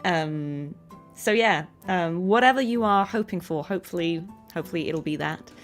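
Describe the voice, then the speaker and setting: A woman's voice: fairly low pitch, animated, normal speed, soft background music. A woman chatting casually and affectionately to viewers on a livestream from home, giggly and chatty. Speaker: streamer and voice actress.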